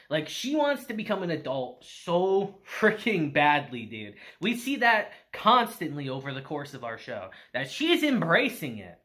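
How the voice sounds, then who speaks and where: A man in his thirties reviewing anime on camera in a small room, animated and gushing, laughing often. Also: a little high. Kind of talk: excited casual review.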